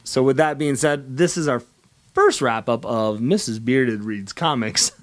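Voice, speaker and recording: A man's voice: mid-range voice, a little slow. A man hosting a comic book video series in casual, conversational vlog style, upbeat and coaxing as he chats with a partner and addresses viewers.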